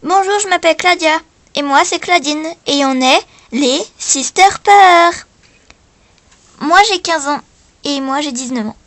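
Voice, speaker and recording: Woman playing high-voiced, earnest teenage girl contestant. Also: measured pace, a bit bright, dry recording. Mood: earnest, eager. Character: teenage sister singing duo introducing themselves on talent show. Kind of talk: improvised role-play of contestant introductions.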